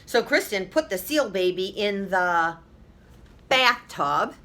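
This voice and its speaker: A woman's voice: medium pitch, lively intonation, slow pace, sharp tone. A woman teacher reading a children's story aloud expressively, with emphasis, addressing young listeners directly.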